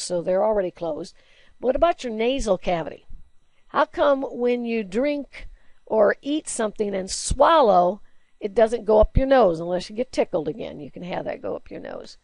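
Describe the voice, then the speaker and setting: A woman's voice: creaky, darkish tone, dry recording. A woman teacher giving a recorded slide lecture, chatty and explaining with everyday examples and questions. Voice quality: low alto singing voice, by her own account.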